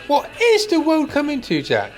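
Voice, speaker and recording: An actor as a pedantic, mild older gentleman in a radio comedy. His voice is high for a man and swings wildly in pitch as he picks his precise words. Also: huge pitch swings, normal speed, soft background music, exaggerated voice. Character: fussy, pedantic, mild-mannered older man called Arthur. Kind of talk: radio play comedy acting.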